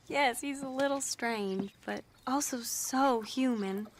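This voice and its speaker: An actress playing a spirited young Southern lady with a prim, breathy, giggly manner. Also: high-pitched, slow, a little hiss or hum. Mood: giddy.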